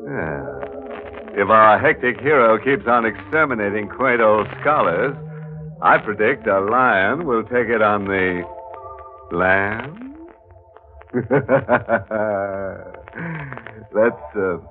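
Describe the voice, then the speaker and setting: An actor playing a gleefully sinister horror host on 1940s radio, mocking and fond of puns, in a muffled old recording. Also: male voice, lowish voice, animated, soft background music, exaggerated voice. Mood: gleefully macabre. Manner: mocking, punning, drawn-out delivery.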